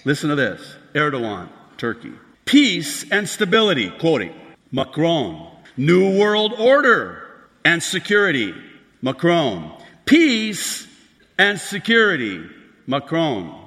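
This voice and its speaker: A man's voice: fairly high pitch, huge pitch swings, slow, bright, forceful tone. A man preaching a prophecy sermon as pastor, emphatic and building to raised outbursts, recorded in a large hall. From Lebanon. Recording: long hall reverb.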